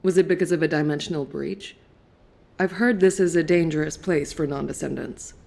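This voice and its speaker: Dominic, stern gravelly soldier. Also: female voice, sits low, brisk, darkish tone. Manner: blunt, clipped, serious. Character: stern, no-nonsense female recon squad soldier, Dominic. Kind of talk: video game dramatic acting.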